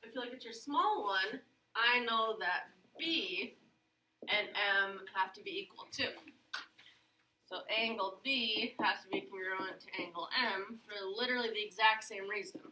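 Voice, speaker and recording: A woman's voice: medium pitch, unhurried, noisy background. A woman teaching a geometry class, upbeat and coaxing her students with questions. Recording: echoey classroom, mic at a distance.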